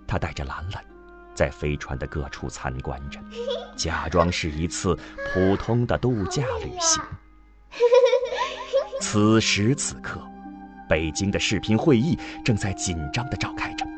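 A male narrator with a low voice and widely varied intonation tells a science fiction story. Music plays softly behind his dramatic, scripted delivery. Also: wildly swooping pitch, unhurried. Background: background music.

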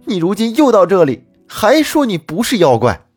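A hot-tempered young clerk rails in a raised, loud voice, as a storyteller puts him on. His indignant lines leap up in pitch with a husky grain. The recording is dry, with faint music underneath. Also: lively intonation, moderate pace, no room sound. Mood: furious. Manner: shouting angrily, indignant. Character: hot-tempered young male shop clerk, easily fooled.

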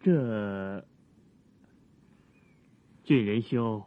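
An actor playing an earnest, modest and troubled young scholar, deferential and theatrical, in a Chinese period radio drama. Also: male voice, lowish voice, extremely slow. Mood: hesitant, troubled. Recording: phone-like lo-fi radio recording.

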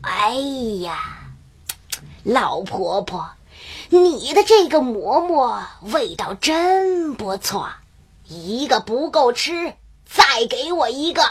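A woman voicing a sly, greedy male tiger in a children's story, with a husky voice and exaggerated, drawn-out delivery. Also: a little high, sing-song, exaggerated voice. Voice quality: husky, rough. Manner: slow, drawn-out, exaggerated wheedling. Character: sly greedy hungry male tiger, wheedling then menacing. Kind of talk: children's storybook character acting.

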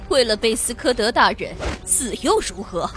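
A woman playing an arrogant, mocking dark elf villainess in a radio drama, haughty and fanatically loyal. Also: fairly high pitch, big pitch swings, moderate pace, over music. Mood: defiant scorn. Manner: haughty, taunting.

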